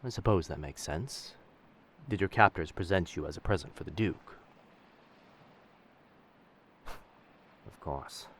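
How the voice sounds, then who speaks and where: A man's voice: low-pitched, animated, a little slow, pauses often, slight background noise. A man playing a roguish, commanding pirate captain in a roleplay audio drama, speaking in old seafaring diction. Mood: intrigued, gentle. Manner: old seafaring diction, addresses others as lass and sir.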